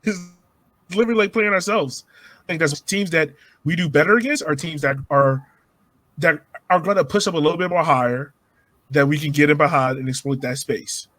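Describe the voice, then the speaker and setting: Man, fairly high voice, dry recording, casual sports analysis, podcast co-host. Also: brisk, fairly bright tone, dry recording.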